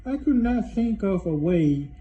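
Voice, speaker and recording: A man voicing a kindly, worried old grandfather in a storybook, speaking slowly and deliberately. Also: high-pitched, expressive, mellow, dull audio, slight background noise. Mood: discouraged.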